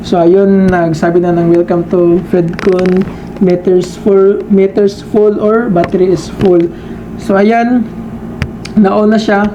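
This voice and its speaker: A man giving a casual hands-on product demonstration as a reviewer, halting and repeating himself as he searches for words. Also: high voice, little pitch movement, noisy background.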